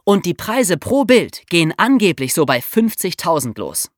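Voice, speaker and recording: A woman's voice: lowish voice, very animated, normal speed, no room sound, clean studio sound. A woman co-hosting a podcast, explaining true crime in a colloquial, conversational storytelling way for young listeners. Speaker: podcast co-host.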